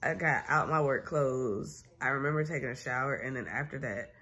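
A woman's voice: a bass voice, moderate pace. A young woman chatting casually and candidly to her phone camera at home, tired and getting over a sinus infection. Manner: candid, animated storytelling with laughs. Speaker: vlogger. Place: small room at home, seated in armchair. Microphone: phone camera at arm's length.

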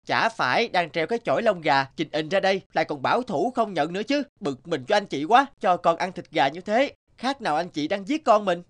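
A man's voice: high voice, lively intonation, a little fast, hardly any pauses, fairly bright tone. A man voicing a foolish, cocky young healer's apprentice in a cartoon folk tale, bumptious and emphatic, with music behind. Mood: accusing. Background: background music.

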